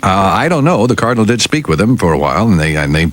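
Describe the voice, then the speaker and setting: An American man working as radio newsreader and sidekick, giving the news and conversing in a mild, measured way. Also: fairly low pitch, big pitch swings. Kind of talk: news reading mixed with casual banter. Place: radio studio.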